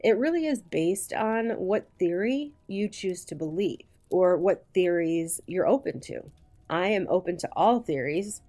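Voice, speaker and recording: A woman narrating a scripted true crime video in a deliberate, measured way with emphatic phrasing. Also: lowish voice, lively intonation, warm tone. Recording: voice-over with music behind it. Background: music.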